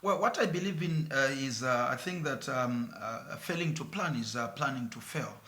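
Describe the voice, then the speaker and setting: Earnest Zambian man, bright echoey voice. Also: medium pitch, expressive, moderate pace. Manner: earnest, mixes in local phrases, some hesitation. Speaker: radio presenter, guest. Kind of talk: conversational interview answers.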